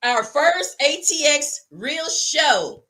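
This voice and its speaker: Hoarse high-voiced woman. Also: slow. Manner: animated, boastful, heavy on y'all. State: hoarse, losing her voice. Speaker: podcast guest and event organizer. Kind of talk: casual livestream chat.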